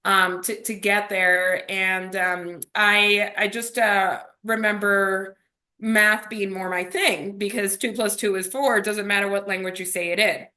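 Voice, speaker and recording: This is a woman from Miami whose first language is Spanish. Her voice is bright and forward at a middle pitch. She speaks as an interview guest, reflecting on her own story and punctuating it with small rhetorical questions. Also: fairly even intonation, unhurried. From Miami, USA.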